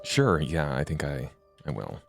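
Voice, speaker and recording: A man playing a tabletop game, talking in a hesitant, deliberate way and repeating words as he describes his character. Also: extremely low pitch, big pitch swings, gravelly, soft background music.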